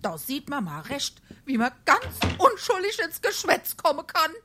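An actress playing a gossipy, defensive burgher wife in a dialect stage comedy, plaintive, with a Darmstadt Hessian accent. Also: female voice, high voice, wildly swooping pitch, normal speed, over music.

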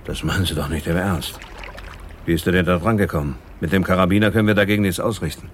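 A calm, laconic boat owner in a radio drama, played by a man. The voice is very low and matter-of-fact, over faint sounds of water and boat. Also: quick pace, slight background noise, natural voice. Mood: alert. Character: calm, helpful, unafraid male boat owner. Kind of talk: radio crime drama acting.

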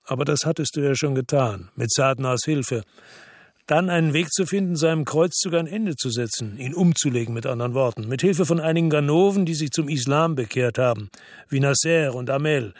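Radio crime play: male actor as a hard, biting man, fairly high voice, dry close sound. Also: fairly quick, no room sound, lightly acted. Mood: accusing. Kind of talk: radio drama acting.

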